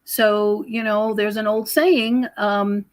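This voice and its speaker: A mature woman with a mid-pitched voice talks as a guest in a conversational interview. She explains at length, pausing and circling back over her phrases. The sound is dry, with very little room in it. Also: fairly even intonation, dry recording. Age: middle-aged or older. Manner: rambling, explanatory, with frequent pauses and repeated phrases. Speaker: podcast guest, researcher and author. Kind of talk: conversational spiritual commentary in an interview.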